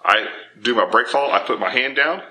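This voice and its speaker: A man in his thirties giving informal, animated, emphatic instruction to camera as a martial arts teacher. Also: medium pitch, normal speed, poor audio. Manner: animated, emphatic, acts out imagined objections. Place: indoor dojo with bare walls and wood floor.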